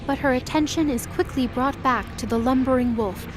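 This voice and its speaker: Higher-voiced woman narrating dramatically. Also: moderate pace, over music.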